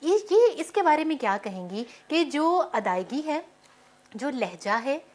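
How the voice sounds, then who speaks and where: A woman's voice: high-pitched, big pitch swings, unhurried. A Pakistani woman hosting a talk show, interviewing her guests in a conversational way.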